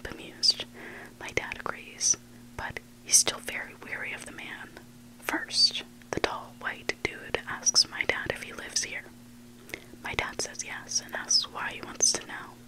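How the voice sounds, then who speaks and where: An ASMR storyteller who reads entirely in a soft, breathy whisper. The delivery is hushed and deliberate, moving through a scripted story in short, separate phrases. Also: poor audio. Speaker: ASMR reader of online stories.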